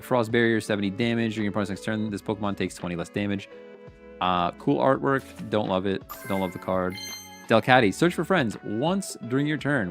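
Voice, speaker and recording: Congested man reading cards over faint music. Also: on the low side. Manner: reads card text aloud, then reacts with wry opinions. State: congested, sniffling. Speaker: streamer. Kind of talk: casual pack-opening commentary.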